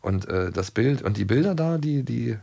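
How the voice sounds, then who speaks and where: A man's voice: medium pitch, animated, normal speed, gravelly, a crowd in the background. A middle-aged man from former East Germany co-hosting a podcast in a playful, rambling casual chat, often repeating words as he searches for them. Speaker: podcast co-host.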